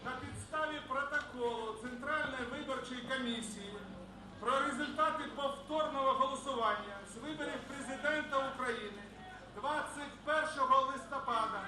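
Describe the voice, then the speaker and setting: A man's voice: very high voice, background noise. A man formally reading out an official election decision. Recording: distant, echoey archive broadcast clip.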